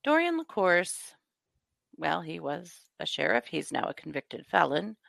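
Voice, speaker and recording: A hoarse woman co-hosting a podcast, chatting and recapping news with dry humor. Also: on the low side, sing-song, a little slow, fairly bright tone, no room sound. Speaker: podcast co-host. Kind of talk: casual chat and news recap.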